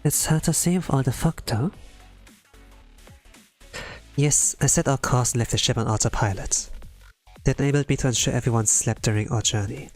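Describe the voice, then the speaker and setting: A man voicing a composed, polite young woman from a game in a measured, formal way, with music behind. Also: mid-range voice, lightly acted. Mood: calm, formal. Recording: music under the voice.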